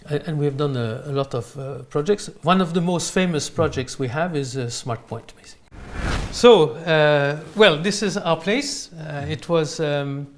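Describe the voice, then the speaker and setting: A man with a French accent answering interview questions in halting, unscripted non-native English. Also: on the high side, slight background noise. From France. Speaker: interview guest, real estate company owner. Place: in his apartment.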